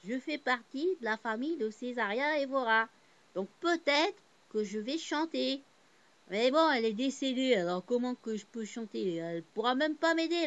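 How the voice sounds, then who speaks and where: A woman's voice: on the high side, highs cut off. Rambling woman telling a personal story. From born in Portugal, Cape Verdean family. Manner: rambling, many fillers and restarts; heartfelt, swings between subdued and animated. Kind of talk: casual personal monologue.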